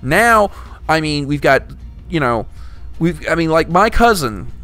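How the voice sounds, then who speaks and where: A man's voice: on the high side, very animated, a little slow, fairly bright tone, faint music behind. A young man hosting a casual podcast, talking in rambling, restart-heavy stretches with some swearing. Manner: rambling, full of restarts and profanity. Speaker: podcast host.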